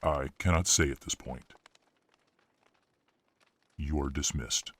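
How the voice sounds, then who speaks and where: A man playing a grave, courteous senior official in a fantasy audio drama, with a husky voice and a formal, guarded manner. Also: extremely low pitch, slow, slight background noise.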